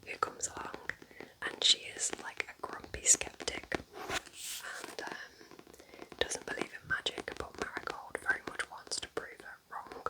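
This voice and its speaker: A British woman whispering softly in an unhurried, chatty ASMR style, gently rambling as a host. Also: poor audio. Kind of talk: whispered ASMR, gentle roleplay book chat.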